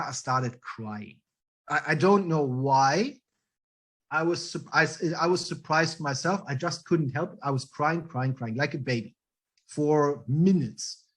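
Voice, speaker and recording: A man's voice: fairly high pitch, breathy edge. A middle-aged man with a thick German accent, a German native speaker telling his career story in an interview, acting out the dialogue with frequent ums and restarts. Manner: lively, quick storyteller who acts out quoted dialogue, with frequent ums and restarts. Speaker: guest, trademark attorney.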